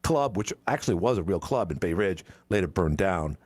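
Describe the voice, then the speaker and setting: Rambling sixty-year-old radio talk host. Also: male voice, sits low, lively intonation, fairly quick, a little mellow, husky, dry recording. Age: 60s. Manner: rambling, opinionated, self-interrupting. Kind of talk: casual call-in radio talk. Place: radio studio.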